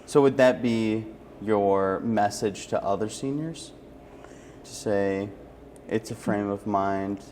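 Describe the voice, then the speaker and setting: A man with a fairly low voice conducts a friendly, conversational interview. He speaks in an encouraging, affirming way as host, with faint noise behind him. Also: slow pace, a little mellow.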